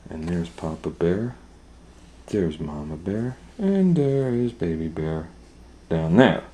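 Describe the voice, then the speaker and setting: A man's voice: deep voice, very animated, slow, warm tone, slight background noise. A man reading a picture book aloud to children, with folksy chatty asides and questions to listeners. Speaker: storytime reader and educator. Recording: roomy, echoing room sound.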